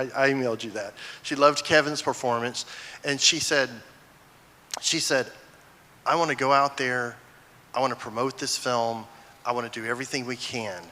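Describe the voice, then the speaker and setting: A man's voice: mid-range voice, sharp tone. A man giving an informal stage talk to an audience, presenting a film. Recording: echoey large hall.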